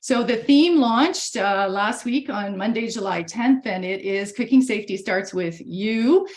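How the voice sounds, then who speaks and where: A woman's voice: mid-range voice, animated, unhurried. A woman losing her voice a bit, chatting through a video-call webinar presentation with lots of fillers and restarts. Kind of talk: casual presentation. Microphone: computer mic on a video call.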